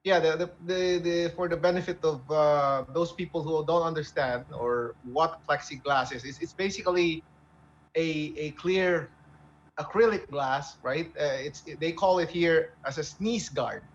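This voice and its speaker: Male co-host, slightly high voice on a muffled line. Hesitant, conversational explainer who keeps checking in. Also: a little slow. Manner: checks with "right?", repetitive, hesitant. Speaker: radio co-host. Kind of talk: casual explanatory chat. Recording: dull narrow audio, faint background noise.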